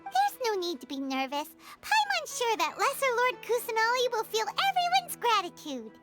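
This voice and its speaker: A tiny, childlike fairy sidekick with an extremely high, piercing voice. She is cheerful and excitable, swooping through exclamations in anime game style, with music playing behind her. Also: normal speed, full character voice. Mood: reassuring. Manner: refers to self in third person, exclamatory. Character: excitable childlike female fairy companion, cheerful and food-loving. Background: background music.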